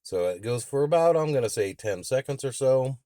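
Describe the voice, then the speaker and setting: A man with a medium-pitched voice talks through gear in a plain-spoken, explanatory way. He sounds like a hands-on reviewer, recorded dry with very little room sound. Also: expressive, brisk, dark tone, no room sound. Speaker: product reviewer testing a CB radio. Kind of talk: hands-on demonstration with radio call-outs.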